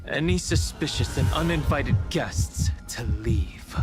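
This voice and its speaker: Game role: guarded young man on watch played by a man, unhurried and grave, formal wording, music underneath. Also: medium pitch, animated, close to an everyday voice. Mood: stern. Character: solemn, guarded young male guard of a space train. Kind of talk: dramatic video game voice acting. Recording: game dialogue over clear background music.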